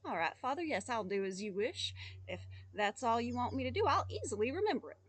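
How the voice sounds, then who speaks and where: A simple, fearless young boy from a storybook, as a woman reading aloud puts him on. He talks earnestly and openly, his voice set high and lively. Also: a little fast. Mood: eager.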